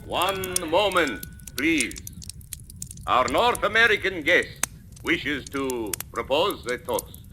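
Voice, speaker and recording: Slow, courtly host. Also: male voice, fairly high pitch, sing-song, over music. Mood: gracious, formal. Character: gracious, courtly Latin American host. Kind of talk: 1940s radio advert acting. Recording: faintly crackly vintage radio recording.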